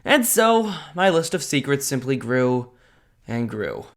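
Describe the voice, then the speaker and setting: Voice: mid-range voice, unhurried. A performer playing a sarcastic, insecure teenage boy who narrates his would-be superhero life with wry self-mockery in a comic dub. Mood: self-pitying. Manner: wry, self-mocking, expressive. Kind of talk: first-person narration and comic dub acting. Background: music.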